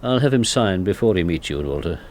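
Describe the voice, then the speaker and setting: An actor playing a shrewd intelligence legal fixer in a radio drama, dry and clipped. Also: male voice, sits low, animated, a little fast, darkish tone, a little hiss or hum. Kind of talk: naturalistic radio drama acting.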